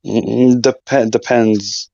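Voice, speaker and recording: A man's voice: mid-range voice, level tone, drawn-out words, creaky, dark tone, dry recording, dull audio. A man debating online, defensive and repeating words when pressed. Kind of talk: casual debate.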